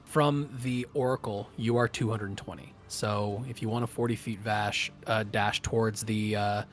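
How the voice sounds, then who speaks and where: A man running a tabletop game as game master, narrating the action casually and thinking aloud in halting phrases. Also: fairly low pitch, fairly even intonation, soft background music.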